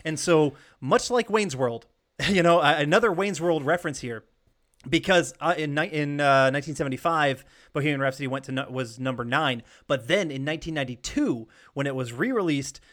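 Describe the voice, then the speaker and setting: A male podcast co-host with a slightly high voice chats casually about music. He sounds eager and enthusiastic, swearing freely as he talks. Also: brisk, fairly bright tone. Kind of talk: casual chat.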